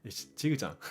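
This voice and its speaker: A young man with a mixed Australian and American accent, chatting casually as a streamer reading viewer comments, relaxed and quick to laugh, over faint background music. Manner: relaxed, laughs often, trails off softly. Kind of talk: casual late-night chat reading comments.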